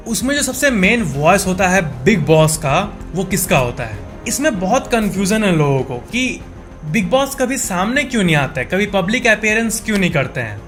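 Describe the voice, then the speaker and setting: A man's voice: high voice, a bit bright. A man narrating a countdown of facts in a casual, conversational way, repeating and rephrasing points for emphasis. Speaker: facts channel host. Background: background music.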